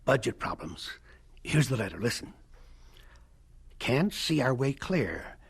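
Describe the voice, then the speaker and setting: Older college president in audio drama, gravelly, hesitant, drawn out but really swoopy intonation. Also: male voice, mid-range voice, very animated, slow pace, exaggerated voice. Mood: smug, reassuring. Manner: hesitant, ponderous, hemming and hawing. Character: stammering, self-assured older male college president.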